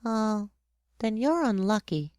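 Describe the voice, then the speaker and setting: Storybook child role for a male performer: thoughtful young prince, soft light voice raised high, unhurried, wistful delivery. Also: expressive, no room sound, clean studio sound. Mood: earnest. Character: the little prince, a gentle thoughtful young boy.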